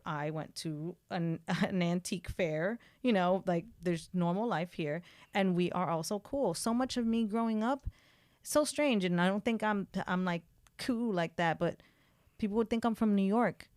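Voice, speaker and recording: A woman's voice: on the low side, normal speed, a little mellow, dry recording. A woman in her thirties from Miami, chatting casually as an interview guest with lots of filler words. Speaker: event producer guest.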